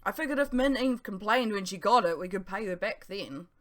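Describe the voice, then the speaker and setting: A woman's voice: medium pitch, animated, a little fast, a bit bright, close to an everyday voice. A woman voicing a brash, cheeky young male student in an audiobook, with an exuberant, theatrical manner. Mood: grudging. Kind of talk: dramatised audiobook dialogue.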